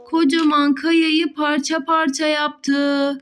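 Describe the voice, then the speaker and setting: A woman's voice: high-pitched, little pitch movement, slow, music in the background. A young woman, a mother, playfully narrating toy play for children, full of exclamations and questions to young viewers.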